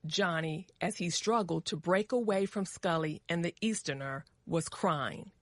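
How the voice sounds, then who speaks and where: American woman, story narrator, lowish voice, very slow, careful diction, dry studio-like sound. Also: no echo. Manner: measured, carefully enunciated. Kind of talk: scripted story narration for English learners.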